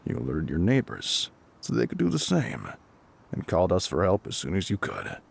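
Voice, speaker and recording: A man playing a gruff, calm fire captain in an intimate audio roleplay, with a husky, gravelly voice and a measured, reassuring, matter-of-fact way of talking. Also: low-pitched, full character voice. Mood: dry, reassuring. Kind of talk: intimate scripted audio roleplay spoken to the listener.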